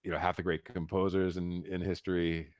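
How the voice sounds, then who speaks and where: An American man telling his story casually as an interview guest, halting and repeating words with emphatic asides. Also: low voice, level tone, no room sound, studio quality. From United States, Philadelphia. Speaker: interview guest, concert pianist.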